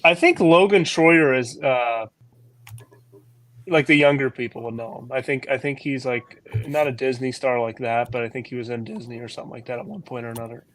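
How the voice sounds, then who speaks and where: Male guest, average pitch, halting and casual delivery. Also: a bit bright. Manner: hesitant, full of ums and restarts. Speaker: guest comic creator. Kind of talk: casual interview chat.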